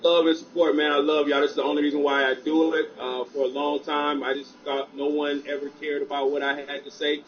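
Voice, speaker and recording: A man speaking as a guest on an online talk show, loud and passionate, with many restarts. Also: fairly high pitch, level tone, fairly quick, few pauses, lo-fi sound.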